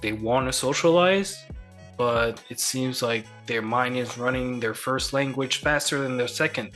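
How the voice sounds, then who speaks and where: Bright-voiced man hosting. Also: medium pitch, measured pace, soft background music. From France. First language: French. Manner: encouraging, thoughtful questioner. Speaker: podcast host. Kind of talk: conversational interview.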